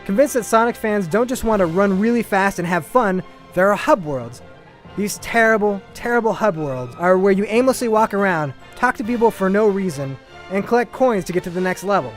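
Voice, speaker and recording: A man's voice: sits high, expressive, fairly quick. A man reading a scripted video game review with sarcastic, exaggerated complaint. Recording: music behind the voice. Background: background music.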